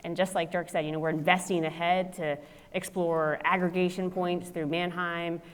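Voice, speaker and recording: A woman's voice: on the low side, little pitch movement, moderate pace, in a large hall. A woman speaking on a conference panel, fluent and businesslike.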